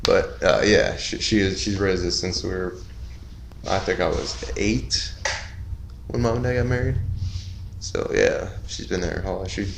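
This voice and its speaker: A man in his thirties with a fairly low voice tells rambling, profane stories in a relaxed podcast chat. He sits in a small room picked up by a distant camera mic. The room is echoey, with a faint hiss underneath. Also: unhurried, creaky, pauses often. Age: 30s. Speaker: podcast co-host. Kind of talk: casual chat.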